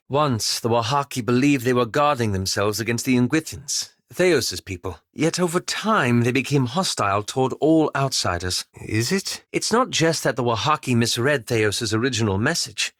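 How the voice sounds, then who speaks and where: A man playing a reserved, scholarly, self-doubting young elf adventurer in a video game, speaking in a formal, measured, wryly understated way. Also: mid-range voice, a bit bright, no echo, clean studio sound, lightly acted. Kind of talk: video game companion dialogue.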